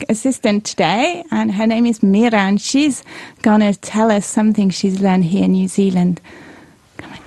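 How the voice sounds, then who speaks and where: A woman's voice: mid-range voice, vocal fry, no room sound. A woman hosting a community radio show, chatty and encouraging as she interviews guests. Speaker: radio host. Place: radio studio.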